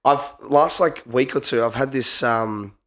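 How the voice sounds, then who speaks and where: Hoarse Australian man, flu-rough voice. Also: medium pitch, unhurried, fairly bright tone. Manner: joking, swears freely, trails off and restarts. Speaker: guest co-host, former 800m runner. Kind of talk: casual podcast banter.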